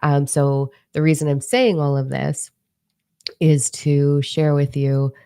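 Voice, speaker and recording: A woman's voice: deep voice, fairly even intonation, slow pace, mellow, smooth, dry recording, clean studio sound. A woman talking casually about channeling, rambling with many ums and restarts; her first language is Italian. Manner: rambling, chatty, frequent ums and self-corrections. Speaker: spiritual channeler. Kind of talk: casual monologue to camera.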